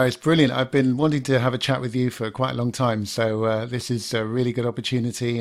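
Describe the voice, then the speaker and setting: A British man hosting a podcast, relaxed and unhurried, with a smooth radio voice that has a gravelly, fry-tinged edge. He pauses often on "uh". The sound is dry and close, with no room echo. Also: mid-range voice. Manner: laid-back, smooth, many "uh" fillers. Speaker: podcast host. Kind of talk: interview podcast.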